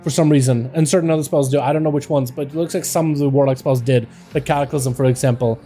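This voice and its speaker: Game streamer, male, American accent, hesitant mixed Russian and English, warm tone. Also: medium pitch, steady tone, faint music behind. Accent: American, speaking Russian. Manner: halting, searching for words, switches between Russian and English. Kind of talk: casual livestream chat.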